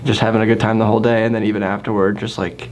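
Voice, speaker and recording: Flat-voiced 23-year-old man rambling. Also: fairly low pitch, rapid-fire, slight background noise. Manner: rambling, self-amused. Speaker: interviewee. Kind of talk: casual interview.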